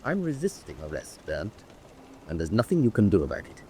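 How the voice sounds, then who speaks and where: An actor playing a defiant, ruthless U-boat officer in a radio drama, arguing insistently and scornfully. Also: male voice, on the low side, wildly swooping pitch, brisk, dark tone, a little hiss or hum. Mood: cold, defiant. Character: defiant hardline German U-boat first-officer rival, ruthless mutineer.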